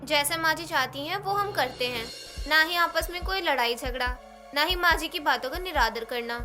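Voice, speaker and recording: A woman voicing a scheming, persuasive young daughter-in-law, coaxing and insistent, in an animated moral story. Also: sits high, moderate pace, close to an everyday voice. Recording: music behind the voice.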